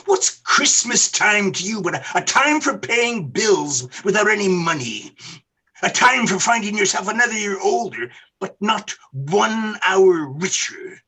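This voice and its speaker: An actor in a radio play playing a bitter, miserly old businessman with a raspy, curt, scornful delivery. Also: high-pitched, very animated, measured pace, bright, forceful tone, full character voice. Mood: scornful, irritated. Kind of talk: theatrical radio play acting.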